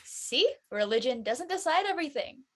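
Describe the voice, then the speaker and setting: A woman's voice: sits high, big pitch swings, moderate pace. A woman playing an earnest, explanatory teenage girl who talks in casual slang in a drama read. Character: earnest churchgoing teenage girl, preachy friend.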